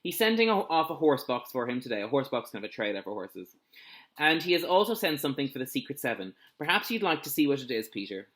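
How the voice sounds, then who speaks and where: A calm father from a children's storybook , read aloud by a man. His pitch is middling and he explains things in a plain, reassuring way. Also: fast talker. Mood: matter-of-fact.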